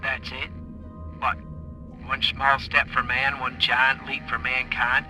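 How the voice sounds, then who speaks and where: An actor playing a sarcastic, indignant astronaut who bickers and mocks in a comic video game. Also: male voice, mid-range voice, monotone, takes it slowly, bright, forceful tone, faint music behind. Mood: mocking, irritated. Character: scornful, bickering male astronaut, mocking and irritable.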